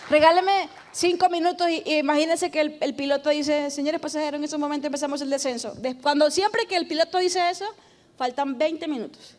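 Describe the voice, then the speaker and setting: A woman pastor preaching a sermon, emphatic and loud, calling on the congregation to repeat after her. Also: high voice, steady tone, a little fast, some chatter behind. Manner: shouting, emphatic preaching with call-and-response.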